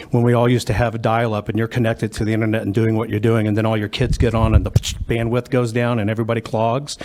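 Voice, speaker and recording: A man's voice: mid-range voice, a little mellow. A man giving testimony at a podium in a hearing, explaining things in informal, conversational terms. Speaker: witness speaking at a hearing. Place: government hearing room, at a podium.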